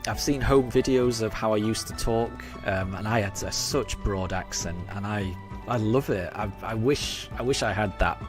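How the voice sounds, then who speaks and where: A man in his forties from Yorkshire with a faded Yorkshire accent, chatting hesitantly with his live-stream chat over many ums and restarts while he plays. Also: lowish voice. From Yorkshire, England. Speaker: game streamer. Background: game music.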